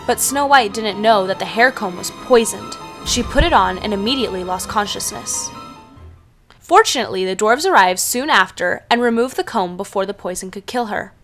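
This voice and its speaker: Female storyteller, medium pitch, scripted children's fairy tale narration, faint music underneath. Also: expressive, normal speed, a bit bright.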